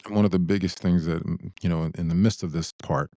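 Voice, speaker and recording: Very deep-voiced man, podcast co-host. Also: fairly even intonation, quick pace, mellow, no room sound. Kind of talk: casual discussion of relationship advice.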